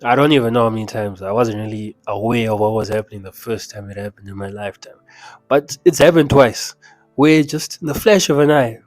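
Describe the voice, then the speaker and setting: A man's voice: on the low side, a little fast, dry recording, soft background music. Emphatic Zimbabwean man coaching. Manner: emphatic and conversational, with asides and chuckles. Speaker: mindset coach. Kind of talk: casual motivational talk to camera.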